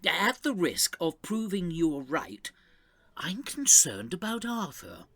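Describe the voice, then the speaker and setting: An actor playing an anxious, sentimental old care home resident in an audio drama, with a husky, halting delivery. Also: male voice, high-pitched, huge pitch swings, fairly bright tone, exaggerated voice. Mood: worried. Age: older. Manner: hesitant, halting.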